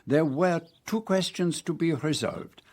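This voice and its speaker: An actor playing a fussy, courteous Belgian detective in a radio drama, with a French-speaking Belgian accent and precise, formal speech. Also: male voice, fairly high pitch, expressive, unhurried, darkish tone. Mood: measured, expository. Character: fussy, theatrical middle-aged Belgian male detective.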